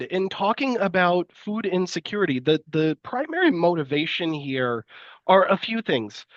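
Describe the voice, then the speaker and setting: A man's voice: fairly high pitch, a bit bright, no echo, highs cut off. Sniffly congested man giving a lecture. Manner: hesitant, many fillers and restarts. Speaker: university lecturer. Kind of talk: live-streamed lecture.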